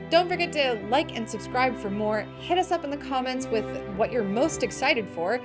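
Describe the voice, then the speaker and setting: A woman hosting a fantasy movie news show, reading scripted items in a chatty, playful fan style with casual opinions. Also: a little high. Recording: music bed behind the voice. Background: background music.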